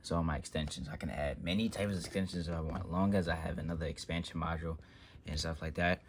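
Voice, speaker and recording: A man's voice: a bass voice, fairly even intonation, fast, slight background noise. A man giving an unscripted, casual walkthrough of a technical setup, rambling and often trailing off with filler phrases. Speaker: tech vlogger demonstrating an office phone system.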